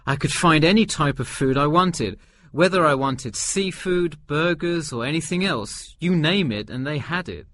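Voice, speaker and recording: A man's voice: a little high, expressive, normal speed, fairly bright tone, slight background noise. A man playing an easygoing middle-aged tourist who gives agreeable, explanatory answers in a scripted listening-test dialogue. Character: easygoing forty-one-year-old male tourist answering survey. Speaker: survey respondent.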